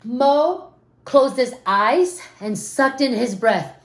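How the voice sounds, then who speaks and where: A woman reading a children's story aloud expressively, with dramatic pauses and questions to her young listeners. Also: high-pitched, expressive. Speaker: storybook reader. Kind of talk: animated children's read-aloud with asides to listeners. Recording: roomy, echoing room sound.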